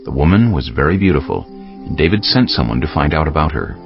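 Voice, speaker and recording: Male Bible narrator, extremely low and gravelly, expressive delivery of scripted scripture, faint music beneath. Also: brisk. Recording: slightly dull audio.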